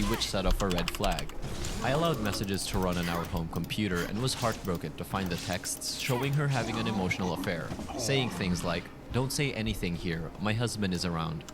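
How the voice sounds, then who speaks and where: A man reading written personal posts aloud as a scripted narrator. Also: lowish voice. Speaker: narrator of online relationship stories. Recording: clearly noisy background.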